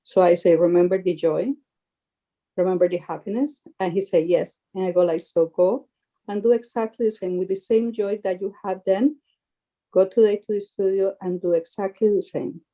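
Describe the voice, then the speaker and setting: A woman with a soft, mellow tone talks earnestly as a parent on a panel. She often restarts and repeats words as she looks for the right phrasing. Her pitch sits in an ordinary middle range. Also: steady tone, moderate pace, low-quality recording. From Costa Rica. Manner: earnest, repeats words while searching for them. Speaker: parent of young actors. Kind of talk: panel discussion.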